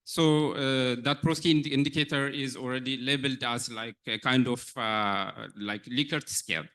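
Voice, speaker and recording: A man answering questions after a research presentation, halting with many restarts and fillers. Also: medium pitch, fairly even intonation, a bit bright. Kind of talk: conference Q&A answer.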